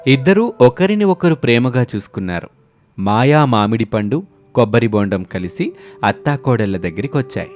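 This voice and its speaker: A man narrating a scripted animated story as its storyteller, with music under his voice. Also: medium pitch, moderate pace.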